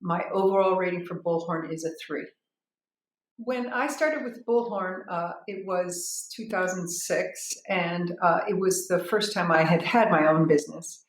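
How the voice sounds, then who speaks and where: Woman, slightly low voice, business-owner testimonial. Halting, unscripted, frank delivery; roomy sound. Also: slight background noise. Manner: hesitant, halting, with repeated words. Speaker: business owner giving a software review.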